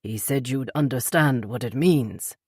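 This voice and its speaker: A woman voicing a polite, unflappable older British gentleman with a clipped British accent. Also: extremely low pitch, unhurried, slightly rough, dry recording, studio quality, full character voice. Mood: calm, polite.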